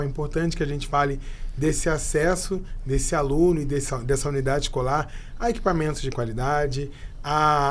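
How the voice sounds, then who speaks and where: A man's voice: fairly high pitch, slight background noise. A middle-aged male teacher talking in an easygoing, friendly way on a panel. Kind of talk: panel discussion.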